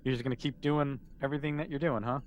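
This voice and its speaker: A man's voice: mid-range voice, fairly even intonation, fast, a little hiss or hum. A man, improvising casually and encouragingly as game master of a tabletop role-playing game.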